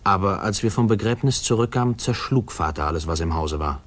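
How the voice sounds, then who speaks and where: An actor playing a grown man wistfully recalling his orphaned boyhood, reflective and measured, in a 1950s radio play narration. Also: low voice, lively intonation.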